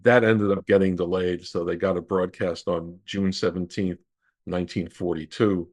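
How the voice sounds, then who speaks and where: A man in his sixties answering as an expert guest, explaining haltingly with many pauses and repeats. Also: low-pitched, steady tone. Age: 60s. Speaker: radio historian guest. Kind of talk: interview answers, recollection and explanation.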